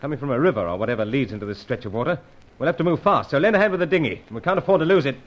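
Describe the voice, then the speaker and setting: An actor playing a steady, adventurous British secret agent in a radio serial, with old-fashioned heroic phrasing. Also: male voice, mid-range voice, racing, highs cut off, natural voice. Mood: urgent, commanding.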